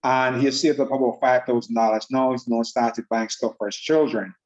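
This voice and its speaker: An upbeat man talks in a middle-pitched voice, laughing easily and restarting his sentences as he walks people through a trading system. The sound comes through muffled and lo-fi, like an online call. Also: steady tone, fairly quick, a little mellow. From Jamaica. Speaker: trading presenter. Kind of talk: online group call presentation.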